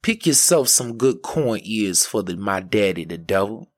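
A bold, magical daughter of the devil in a folk tale, played by a male storyteller. She speaks decisively, like casting spells, in slow measured phrases over faint music. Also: on the low side, sing-song. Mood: scheming, assured. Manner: incantatory, decisive.

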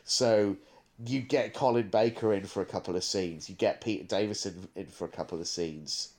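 A man's voice: on the low side, normal speed, darkish tone. A man co-hosting a podcast in casual chat, thinking aloud as he talks at length. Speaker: podcast co-host.

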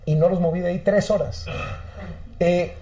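Mexican man in his forties, journalist guest, high voice, self-mocking anecdote. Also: fairly even intonation, mellow, roomy sound. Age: 40s. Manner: rapid, self-mocking storyteller who quotes officials. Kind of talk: casual chat, telling an anecdote.

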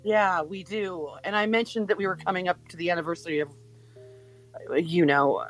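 A woman playing a flustered, apologetic but affectionate guardian of a teenager in an audio drama, casual and halting. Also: on the low side, soft background music, close to an everyday voice, low-quality recording. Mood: awkward, reproachful.

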